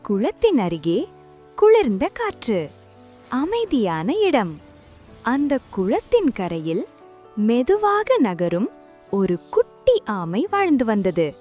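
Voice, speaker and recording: A woman narrating a scripted children's story in short, deliberate phrases. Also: fairly high pitch, wildly swooping pitch, warm tone, faint music behind.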